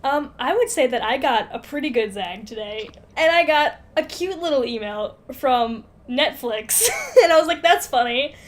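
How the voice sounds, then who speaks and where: A woman's voice: high voice, animated, normal speed, few pauses. A young woman bantering casually as a podcast co-host, slangy, effusive and prone to giggling.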